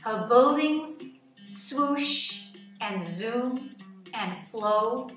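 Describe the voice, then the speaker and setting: A woman reading a nonfiction picture book aloud to children in an expressive, deliberate storytelling way, phrase by phrase. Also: a little high. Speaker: children's story-time reader. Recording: echoey room, distant mic, music underneath.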